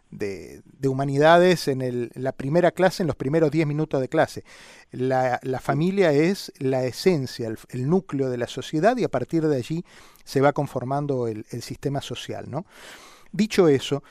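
Radio host, dry studio sound. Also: male voice, fairly high pitch, darkish tone, no echo. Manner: musing, long winding questions with hesitations. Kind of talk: radio interview hosting.

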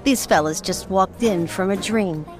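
An actress playing a sassy, dangerous nightclub hostess in a noir radio drama, flirtatious and self-assured. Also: female voice, mid-range voice, unhurried, soft background music, lightly acted. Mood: sultry, welcoming.

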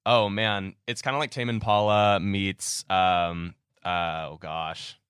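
A man's voice: sits low, steady tone, slow, fairly bright tone, clean tone, no room sound, clean studio sound. A young man chatting casually with friends as a podcast co-host, jokey and reminiscing.

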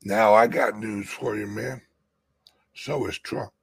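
A man with a raspy voice hosting a casual political chat on a crypto show, talking emphatically and restarting often. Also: lowish voice, fairly even intonation, takes it slowly.

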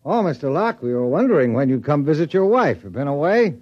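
An actor playing a genial, avuncular doctor in a 1950s radio drama, with a hearty and polite manner. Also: male voice, on the high side, big pitch swings, a little fast. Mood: polite concern. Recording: muffled old radio recording with background noise.

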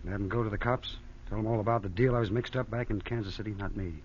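A man's voice: lowish voice, fairly even intonation, fast, close to an everyday voice. A man playing a world-weary, crooked nightclub owner in old radio crime drama, wry and slangy. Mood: sardonic. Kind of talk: 1940s-50s radio crime drama acting. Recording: dull old radio broadcast.

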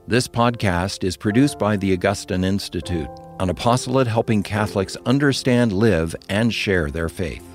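Low-voiced male announcer over guitar music. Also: moderate pace, hardly any pauses. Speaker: podcast intro announcer. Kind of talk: scripted narration.

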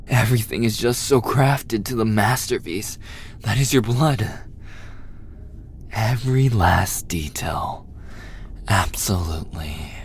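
A man performs as an obsessive, hungry vampire in a boyfriend ASMR roleplay. The voice sits very low and moves slowly, breathy and often sinking into a gloating, intimate whisper. A faint layer of ambient noise lies behind it. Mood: rapturous. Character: obsessive, possessive male vampire, starved and hungry for blood.

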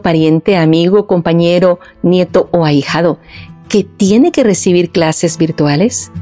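A woman's voice: on the low side, dark tone. Formal woman podcast host, music behind. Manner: formal, ceremonious presenting. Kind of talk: scripted podcast introduction.

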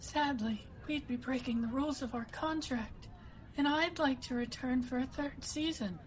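A woman's voice: high voice, normal speed, lightly acted. A woman playing a smug celebrity twin brother from a soap opera in a comedic radio sketch, on a noisy recording.

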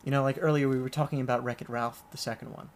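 A man talking casually as a podcast guest, relaxed and hesitant, with lots of fillers and restarts. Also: medium pitch, rapid-fire. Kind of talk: casual conversation.

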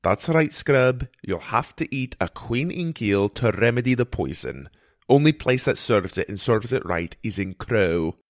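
An earnest, seasoned mentor from an adventure story, acted out by a man. He speaks in emphatic, colorful phrases with a grave bearing, at a middling pitch, in a dry recording. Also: animated, a little slow, no room sound. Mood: matter-of-fact, relishing. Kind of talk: character dialogue in an adventure audio story.

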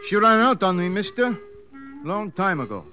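An actor playing a forlorn abandoned rug dealer with plaintive, clipped phrases, in 1950s radio drama style. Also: male voice, high-pitched, sing-song, moderate pace, bright, forceful tone, faint music behind, exaggerated voice.

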